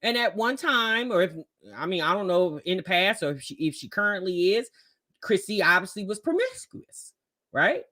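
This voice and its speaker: A woman's voice: medium pitch, big pitch swings, normal speed, bright, forceful tone. A woman giving casual, opinionated commentary as a reaction host, talking emphatically and colloquially with frequent rhetorical questions.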